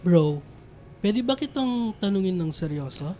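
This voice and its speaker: A man's voice: high-pitched, animated, measured pace, warm tone, faint music behind. An actor playing an earnest, anxious, lovestruck young man in a radio drama, with a Filipino accent. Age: young adult.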